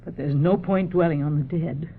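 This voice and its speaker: A woman's voice: deep voice, normal speed, husky. An actress playing a stern, impatient, unsentimental middle-aged mother in a radio drama. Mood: stern, scolding. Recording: dull lo-fi radio sound.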